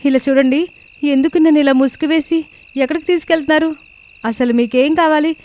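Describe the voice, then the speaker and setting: A woman's voice: high-pitched, steady tone, fairly quick, darkish tone, natural voice. A woman playing a frightened young daughter-in-law pleading with her captors in a dramatised story. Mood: afraid, pleading.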